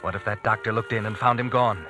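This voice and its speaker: An actor narrating a tense suspense thriller in old-time radio style, insistently addressing the hero. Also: male voice, lowish voice, fairly even intonation, fast talker, natural voice. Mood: grim, suspenseful. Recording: vintage radio recording with dramatic music.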